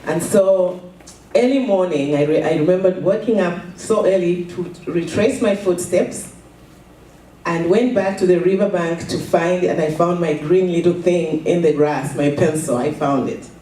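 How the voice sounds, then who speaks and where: A woman's voice: fairly low pitch, warm tone, slight background noise. Woman telling a story in an echoey hall. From Zambia. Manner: slow, halting storytelling with repeated words. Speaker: charity founder giving a speech. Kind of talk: personal story speech at a fundraiser. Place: event hall. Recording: echoey room, from a distance.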